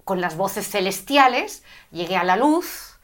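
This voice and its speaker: A middle-aged woman from Spain, telling her story as an interview guest with an animated, spiritual way of talking. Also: mid-range voice, a little slow. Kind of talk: conversational interview.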